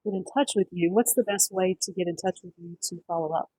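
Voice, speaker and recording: A woman with a fairly low, husky voice hosts an online session. She moderates politely and informally over a video call, with very little room sound around her. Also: steady tone, fast, warm tone, no room sound, rough recording. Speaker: host, career center session moderator.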